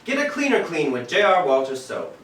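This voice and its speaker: A man's voice: fairly high pitch, very animated, brisk, fairly bright tone. A man acting a polished old-time radio announcer on stage, with a projected, showy delivery. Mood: showy, upbeat. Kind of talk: 1940s radio show announcing. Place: live stage in a theatre. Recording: echoey theatre from far off, faint noise.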